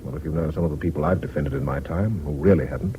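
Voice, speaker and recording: An actor playing a worldly, unsentimental British defence lawyer in a radio drama, with dry, measured, educated phrasing. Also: male voice, rumbling low. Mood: frank, unsentimental. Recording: dull, noisy old radio recording.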